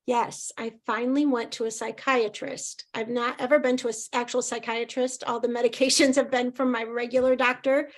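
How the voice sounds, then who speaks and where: A middle-aged woman from Indiana, a podcast guest chatting casually, rambling and laughing easily in a loud voice. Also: on the high side, level tone, normal speed, hardly any pauses. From Indiana, USA. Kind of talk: casual interview chat.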